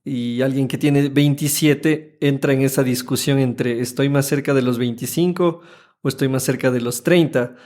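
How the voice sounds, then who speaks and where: A man in his thirties with an Ecuadorian Spanish accent, co-hosting a casual podcast chat in an animated, playful way. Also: mid-range voice, normal speed. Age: 30s. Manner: animated, chatty, playful teasing. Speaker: podcast co-host.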